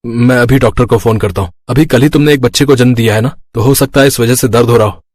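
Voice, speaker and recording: A man's voice: medium pitch, fast, no room sound, natural voice. Man playing a young husband, dry sound. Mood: concerned. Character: young husband, excitable new father. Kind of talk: cartoon moral-story acting.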